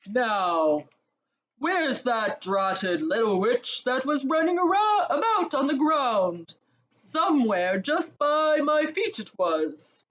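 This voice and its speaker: A woman reading aloud voices a huge, good-natured giant who has just woken, speaking slowly and loudly. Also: high voice, expressive, muffled recording, pitched above the performer's own voice, heavily acted. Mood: drowsy.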